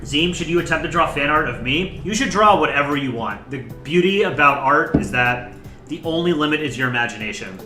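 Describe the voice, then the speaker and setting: A young man hosting a casual livestream, chatting in a relaxed, rambling way and thanking viewers by name. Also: a little high, brisk, roomy sound, over music. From United States. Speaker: livestream host. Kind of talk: casual chat.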